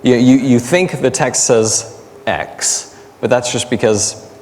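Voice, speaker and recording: A man's voice: mid-range voice, slow, darkish tone, a little hiss or hum. A man, an academic guest in an interview, explaining things in a thinking-aloud way with everyday examples. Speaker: academic guest, biblical language scholar and teacher. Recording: echoey hall, long reverb.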